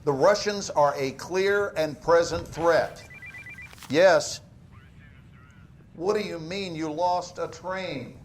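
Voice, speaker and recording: An actor playing a hard, cynical American government interrogator in a game cutscene, speaking with measured, accusing authority. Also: male voice, fairly high pitch, noisy background. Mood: dismissive.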